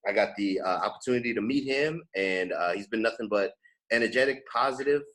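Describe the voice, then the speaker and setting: A man's voice: on the low side, brisk, a bit bright. A man hosting a casual podcast interview, effusive and slangy in the way he talks. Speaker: podcast host.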